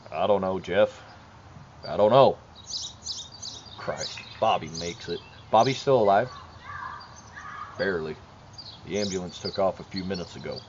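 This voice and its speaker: A man acting as a shaken, weary suburban neighbor in an audio drama. His voice is deep and his manner blunt and resigned. The muffled sound carries outdoor noise and birdsong. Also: a little slow, long pauses. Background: outdoor ambience with birdsong.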